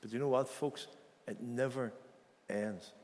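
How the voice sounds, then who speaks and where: A man's voice: medium pitch, fairly even intonation, measured pace, darkish tone. A man from Ireland, preaching a sermon in an earnest, storytelling way and repeating key phrases for emphasis. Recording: long hall reverb.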